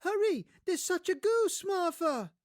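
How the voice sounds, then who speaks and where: A man voicing excitable little children with shrill, eager cries in a storybook performance. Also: unhurried, dry recording, clean studio sound, exaggerated voice. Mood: excited. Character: excited squealing little children, boy and girl. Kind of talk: theatrical audiobook character acting.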